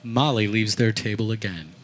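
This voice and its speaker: A man's voice: on the low side, moderate pace, soft background music. A man reading scripted narration for a radio play.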